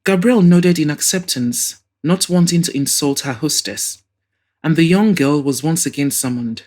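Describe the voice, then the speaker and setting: A woman's voice: low-pitched, moderate pace, mellow. A woman reading scripted story narration as the narrator of an audio drama.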